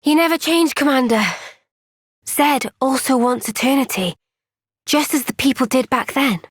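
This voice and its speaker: A woman playing an earnest, gentle young female soldier in a video game cutscene, speaking with measured, sincere resolve. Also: high voice, slightly rough, no room sound, studio quality. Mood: thoughtful, earnest.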